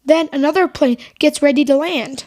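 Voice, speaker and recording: A woman with a high voice co-hosts an educational podcast. Her delivery is scripted and aimed at young listeners. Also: moderate pace, a little mellow. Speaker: podcast co-host.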